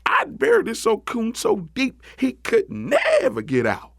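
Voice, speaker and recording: A man voicing an excitable, scheming storybook rabbit who hollers in folksy Southern talk. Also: extremely high pitch, measured pace, gravelly, breathy edge, no echo, studio quality, voice pushed up, exaggerated voice. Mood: coaxing, sly. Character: high-voiced excitable scheming trickster rabbit.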